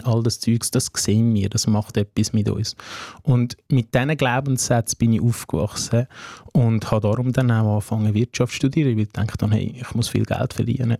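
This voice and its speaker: A man's voice: medium pitch, mellow, no room sound, clean studio sound. A man from Afghanistan with a Swiss German accent, a social worker in his twenties, speaking earnestly and reflectively as an interview guest. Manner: earnest, searching, repeats phrases with "hey".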